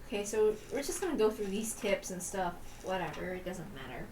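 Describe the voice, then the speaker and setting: A woman's voice: medium pitch, lively intonation, brisk, background noise. A woman streamer reading a visual novel aloud, moving between narration and casual commentary. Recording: roomy echo, distant mic, music behind.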